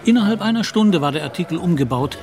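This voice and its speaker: A man's voice: high-pitched, lively intonation, fast talker, darkish tone, faint music behind. A man narrating a literary radio drama in scripted storytelling style.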